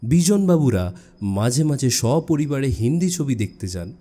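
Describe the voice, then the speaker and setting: Slow, expressive male suspense storyteller. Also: mid-range voice. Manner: expressive, deliberate pauses. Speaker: audiobook narrator. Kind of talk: dramatic suspense story narration.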